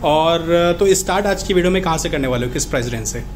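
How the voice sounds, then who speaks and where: High-pitched male vlog host. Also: animated, fast, slight background noise. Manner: eagerly agreeing, echoes the seller's words. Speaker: video host, interviewer. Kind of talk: promotional vlog interview.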